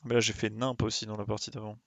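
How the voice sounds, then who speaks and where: A man's voice: fairly low pitch, level tone, fast talker, creaky, dry recording. A man doing casual live game commentary, thinking aloud in informal slang and exclaiming as he plays. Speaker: game streamer.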